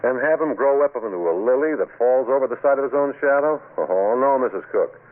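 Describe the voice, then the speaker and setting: A man's voice: mid-range voice, lively intonation, brisk, lightly acted. An actor playing a breezy, wisecracking husband and father with folksy banter in a vintage radio drama. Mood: amused, dismissive. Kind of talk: 1940s radio drama acting. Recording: muffled, noisy vintage radio recording.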